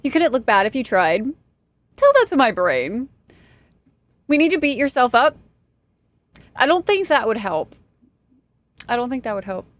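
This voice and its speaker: A young woman from Texas streaming a game, chatting casually with her viewers, self-deprecating and quick to laugh. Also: fairly high pitch, sing-song, no echo. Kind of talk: casual chat with stream chat while gaming.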